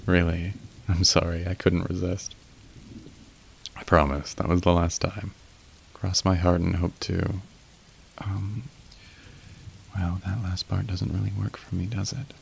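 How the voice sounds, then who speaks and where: A man plays a demon phone operator for an ASMR roleplay, very low and gravelly. He is courteous and wry, sliding between suggestive polish and awkward apology, and his delivery stays narrow in pitch while rain falls behind him. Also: flat delivery, a little slow, pauses often, a little hiss or hum, exaggerated voice. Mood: relieved, teasing. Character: suave, awkward young male incubus working a phone line. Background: falling rain.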